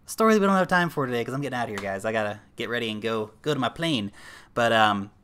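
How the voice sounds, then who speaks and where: A man's voice: mid-range voice, lively intonation, rapid-fire, a bit bright, a little hiss or hum. A young man with an American accent, hosting a casual livestream, reading out viewer comments and chatting with fillers along the way. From New Zealand. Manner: chatty, addresses viewers as guys, thinks aloud with fillers. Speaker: livestream host.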